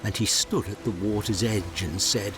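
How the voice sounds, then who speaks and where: Male narrator for fairy tales, lowish voice, unhurried and dramatic, music underneath. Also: fairly even intonation. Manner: measured, dramatic storytelling. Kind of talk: scripted storybook narration.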